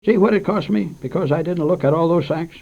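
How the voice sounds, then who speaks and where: A man reading aloud and voicing a kindly older male country storekeeper with folksy, gentle speech, in a muffled old radio recording. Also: a little high, fairly quick, gravelly, voice pushed up.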